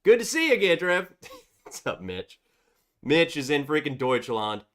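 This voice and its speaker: Lively congested man hosting a livestream. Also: fairly high pitch, unhurried. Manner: reads viewer chats aloud, chatty and upbeat. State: congested from a cold. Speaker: livestream host. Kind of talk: casual livestream chat.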